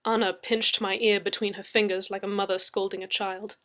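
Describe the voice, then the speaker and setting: A woman's voice: medium pitch, level tone, brisk, a bit bright. A woman reading first-person story narration as an audiobook reader.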